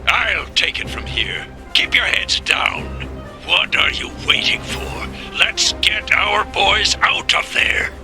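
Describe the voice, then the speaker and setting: Military leader, very sharp high voice, deliberate, forceful, game drama. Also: male voice, sing-song, heavily acted. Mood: urgent, commanding. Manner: forceful, barking orders. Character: commanding male soldier leading a rescue. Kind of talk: dramatic video game cutscene acting. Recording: music behind the voice.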